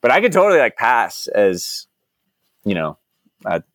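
A man's voice: fairly low pitch, big pitch swings, measured pace, fairly bright tone, no room sound, clean studio sound. A man from Dallas, a guest in a casual interview, rambling with lots of "like" and restarts. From United States (Dallas). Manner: rambling, heavy use of "like" and restarts. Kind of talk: casual conversation.

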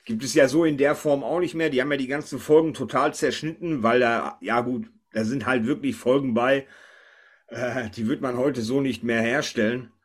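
A man's voice: medium pitch, normal speed. A man hosting a casual podcast chat, talking informally. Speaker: podcast host.

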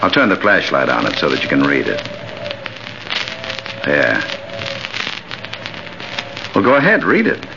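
An actor playing a cold, sardonic killer who taunts with mock politeness, in 1940s radio thriller style. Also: male voice, rumbling low, wildly swooping pitch, unhurried, creaky, long pauses, music in the background, heavily acted. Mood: coldly menacing. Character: cold, mocking male killer taunting his doomed victim. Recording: muffled, noisy old 1940s radio recording.

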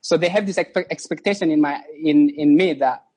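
A young man from East Timor, a guest on an interview, speaking as an in halting phrases with many restarts. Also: a little high, warm tone, breathy edge. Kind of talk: casual interview.

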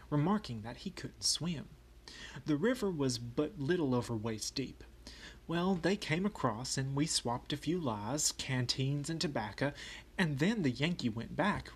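A man's voice: mid-range voice, husky. A man voicing a folksy Confederate private with a Southern US accent, telling war memories as a first-person memoir.

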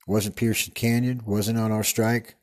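A man's voice: lowish voice, level tone, measured pace, a little mellow, lightly acted. A man doing a rambling old Texan cowboy actor. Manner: meandering, digressive storytelling with many stumbles. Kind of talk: improvised comedic character monologue. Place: studio.

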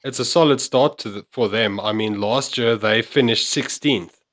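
A man's voice: medium pitch, moderate pace, a bit bright. A man hosting a casual football podcast, running through the fixtures in an easygoing way. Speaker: podcast host.

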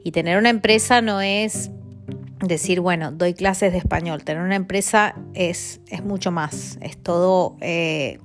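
Lowish chatty Argentine woman podcaster. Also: a little slow, faint music behind. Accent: Argentine Spanish. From Argentina, Mendoza. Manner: chatty, many fillers and tag questions. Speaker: podcast host. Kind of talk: casual podcast monologue.

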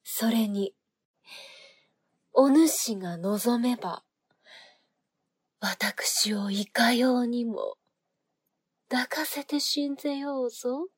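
A woman's voice: on the high side, extremely slow, long pauses, husky, no room sound, clean studio sound, full character voice. An actress playing a sinister, seductive woman agent in a period audio drama, taunting in courtly archaic phrasing. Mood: seductive, amused. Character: sinister seductive noblewoman agent, archaic speech, mocking, menacing.

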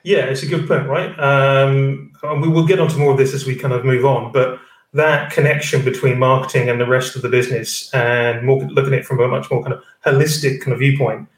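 A man's voice: medium pitch, fairly even intonation, brisk. A man hosting a live webinar over a video call, sitting some way back from the mic. His voice echoes in a roomy space. He rambles, packing his sentences with fillers and "kind of". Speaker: stand-in host. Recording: roomy sound, distant from the mic.

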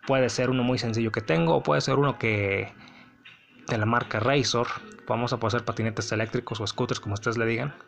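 A man's voice: mid-range voice, fairly even intonation, a little fast, vocal fry, a bit bright, faint music behind. A man with a Mexican accent hosting a casual solo podcast, telling a personal story in a rambling, sardonic, blunt way. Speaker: podcast host.

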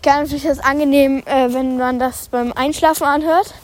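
Young woman, podcast host, stuffy cold, high voice, rambling, outdoor phone recording buried in loud wind. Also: fairly even intonation, brisk. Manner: chatty, self-conscious, many fillers and restarts. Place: outdoors at a horse paddock in wind. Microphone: phone held while walking.

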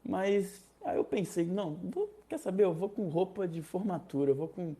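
A young man from Rio de Janeiro with a Carioca accent, casually telling a personal story, animated and slangy, acting out other people's lines. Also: high-pitched, moderate pace. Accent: Carioca Brazilian Portuguese. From Rio de Janeiro, Brazil.

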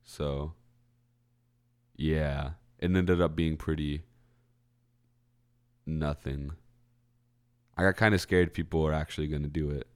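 A man's voice: extremely low pitch, fairly even intonation, takes it slowly, long pauses. A young man with a stuffy, congested voice hosting a college radio show, chatting casually and correcting himself as he rambles. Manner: rambling, self-correcting, clears throat. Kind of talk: casual solo podcast chat. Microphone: studio microphone.

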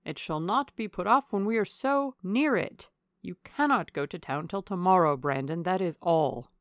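A hearty country baronet, played by a woman narrator, orders and persuades in a loud, emphatic way. He is blunt and bossy, in a dry audiobook reading. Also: fairly low pitch, normal speed, no room sound, natural voice. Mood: commanding.

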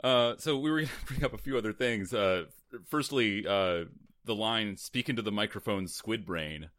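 A man's voice: lowish voice, moderate pace, fairly bright tone. A man co-hosting a podcast who delivers made-up facts in a dry, mock-serious way. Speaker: podcast co-host. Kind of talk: casual chat.

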